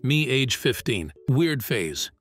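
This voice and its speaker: A man reads comic captions and parts in a matter-of-fact way at a middle pitch, with a lively rise and fall. A faint static hiss sits under the voice. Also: slow, soft background music. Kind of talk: comic dub acting.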